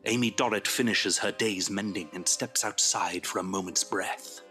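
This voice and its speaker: A man's voice: fairly low pitch, moderate pace, natural voice. A man giving solemn, atmospheric scripted narration for an audio drama. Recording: music clearly under the voice.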